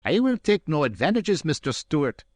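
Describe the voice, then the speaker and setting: A man reading aloud and voicing a proud Highland piper, coolly courteous and needling. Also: big pitch swings, normal speed, a little mellow, dry recording, clean studio sound.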